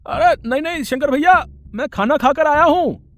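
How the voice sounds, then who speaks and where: A man's voice: very high-pitched, normal speed, fairly bright tone, slight background noise. A man playing an anxious, pleading poor farmer and deferential younger brother in a moral story. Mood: urgent. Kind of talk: Hindi moral story voice acting.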